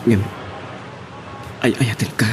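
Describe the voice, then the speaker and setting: A man's voice: takes it slowly, lightly acted. A man playing an ardent, sweet-talking young lover who woos and pleads in a radio romance drama. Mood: pleading, reassuring. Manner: wooing, pleading. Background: music.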